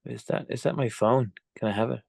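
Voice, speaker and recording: Guy doing an angry sweary young prisoner, gravelly and blunt, dry recording, script read. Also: medium pitch, fairly even intonation, a little fast, no echo. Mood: guarded, defiant. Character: angry, defiant, foul-mouthed captive young weapons developer. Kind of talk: screenplay table read.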